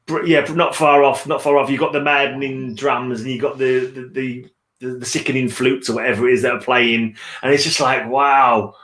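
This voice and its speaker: A man's voice: medium pitch, flat delivery, moderate pace, a bit bright. Rambling man in a roomy space. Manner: rambling, searching for words, repeats phrases. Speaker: host of a book read-along stream. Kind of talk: casual book discussion chat.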